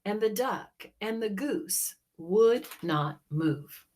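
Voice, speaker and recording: Woman, children's storybook reader, very unhurried, expressive melody, dramatic delivery. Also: medium pitch, slight background noise. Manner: dramatic, deliberate storytelling with hushed moments.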